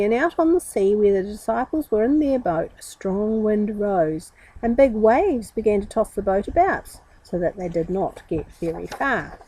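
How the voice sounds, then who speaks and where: A woman's voice: medium pitch, dark tone, slightly rough, poor audio. A woman reading a Bible story aloud to children, dramatically and addressing them directly.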